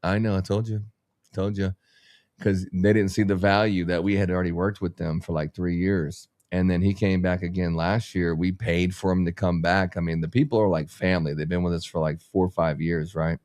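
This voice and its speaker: A man's voice: low voice, level tone, brisk, hardly any pauses, a little mellow, no room sound, clean studio sound. A man who owns a business, talking blunt and rambling in a casual podcast chat and swearing freely as he gives advice. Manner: blunt, profane, rambling, motivational. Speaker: business owner and podcast host.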